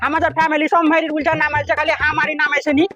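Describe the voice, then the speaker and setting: A man's voice: very high voice, completely flat delivery, very fast, pushed and bright, lo-fi sound, faint music behind. A man playing a cranky, flustered very old village man in a comic skit, with a rural Birbhum Bengali accent and a grumbling, complaining manner. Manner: grumbling, cursing, breathless complaining.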